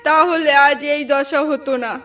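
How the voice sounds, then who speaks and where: A woman's voice: high voice, flat delivery, normal speed, sharp tone. A woman voicing an anxious, plaintive village wife in a cartoon. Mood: grieving. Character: worried village wife, anxious sister-in-law. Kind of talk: cartoon voice acting. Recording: slightly distant, music behind.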